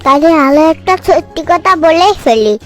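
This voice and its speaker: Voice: high voice, animated, normal speed. A performer voicing a cheeky, bossy small child in a comic cartoon, scolding and teasing, with mispronounced words. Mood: teasing accusing.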